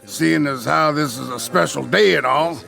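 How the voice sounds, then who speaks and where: An actor playing a wily traveling huckster in an American western radio drama, with folksy, showy sales patter. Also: male voice, a little high, moderate pace, vocal fry, pushed and bright, soft background music, full character voice. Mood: oily, ingratiating. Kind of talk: theatrical radio drama acting.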